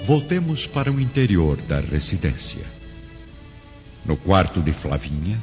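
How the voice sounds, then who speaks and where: A man gives solemn, measured narration for a radio drama in a very deep voice. The sound is muffled and heavily reverberant, with music behind. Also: darkish tone.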